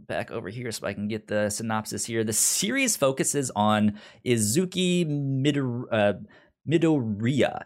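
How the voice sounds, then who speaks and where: A man hosting a podcast in a casual, chatty style, with a stutter that repeats sounds and syllables. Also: fairly low pitch. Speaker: podcast host.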